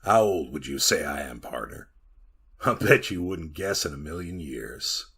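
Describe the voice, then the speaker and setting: An actor playing a weathered, folksy Montana copper miner who rambles to a listener in a retro radio drama monologue. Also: male voice, rumbling low, expressive, a little slow, gravelly, fairly bright tone, slight background noise, heavily acted. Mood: folksy, wry. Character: weathered bearded Montana copper miner, 34, haunted yarn-spinner.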